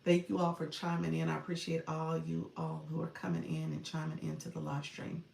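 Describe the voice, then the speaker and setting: A woman's voice: deep voice, monotone, a little fast, creaky, darkish tone, roomy sound, slight background noise. A woman from Florida hosting a casual live stream, chatting with viewers, greeting them and laughing often. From Florida, USA. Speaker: live stream host and coach.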